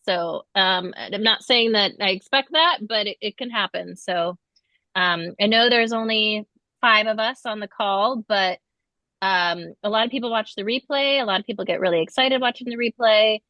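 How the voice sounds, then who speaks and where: A woman chatting casually and a little haltingly as a trip host on an online call. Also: mid-range voice, no echo, lo-fi sound. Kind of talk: casual webinar chat.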